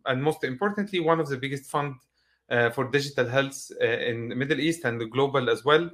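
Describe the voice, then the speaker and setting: A man's voice: mid-range voice, fairly quick, fairly bright tone. A man moderating an online session, giving formal, welcoming introductions and questions to his guest. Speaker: host, moderator. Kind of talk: hosting an online panel session.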